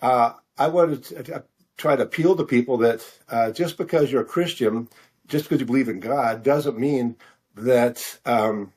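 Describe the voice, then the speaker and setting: An older American man from Missouri chatting casually as an interview guest, halting and often correcting himself as he rambles. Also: medium pitch, darkish tone. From Missouri, United States. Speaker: guest, fiction author and physician.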